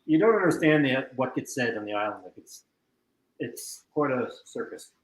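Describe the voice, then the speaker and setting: A man's voice: mid-range voice, lively intonation, normal speed, roomy sound, poor audio. A man, a guest from a treasure hunt crew, telling wry stories about life on the island in casual chat.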